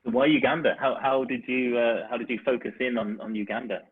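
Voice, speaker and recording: A man's voice: medium pitch, fairly even intonation, fast talker, slight background noise. Over a phone-like online call, a man hosts a casual interview with informal, loosely phrased questions. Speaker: interviewer, webinar host.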